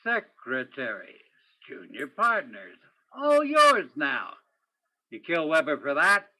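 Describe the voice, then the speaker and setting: A man acts out a brooding, wry police detective from an old radio crime play. His voice is bright and swings widely in pitch. He questions in terse, hard-boiled phrases. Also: huge pitch swings, slow pace, full character voice. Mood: accusing. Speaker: student or guest stage actor in radio play. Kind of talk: old-time radio crime drama acting.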